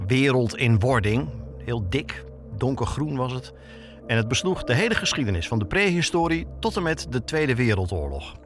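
A man with a Netherlands Dutch accent narrates a scripted podcast as a reporter and host. His pitch moves in a lively way as he tells things personally and asks rhetorical questions. Music plays clearly behind him.

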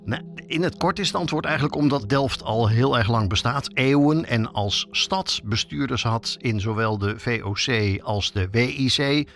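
A man's voice: medium pitch, normal speed, fairly bright tone, soft background music. A man with a Netherlands Dutch accent hosts a podcast, reading scripted narration as a reporter.

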